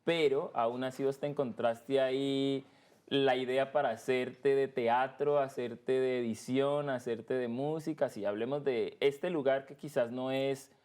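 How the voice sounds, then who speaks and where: A man's voice: mid-range voice, smooth. Cali guy hosting a chill podcast chat, drags out words for emphasis, middle voice. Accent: Colombian, from Cali. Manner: expressive, slows down to stress words. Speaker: podcast host. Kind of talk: casual interview.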